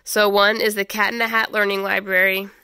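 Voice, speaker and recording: A stuffy, congested woman in her thirties talks through a stack of books in a small home schoolroom, chatty and peppered with ums. Her voice is bright and crisp, with a slight gravelly fry. The close camera mic gives a dry, near sound. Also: mid-range voice, flat delivery, fairly quick, dry recording. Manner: chatty, many ums and restarts. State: stuffy from a head cold. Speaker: homeschool channel host. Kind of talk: casual book review walkthrough.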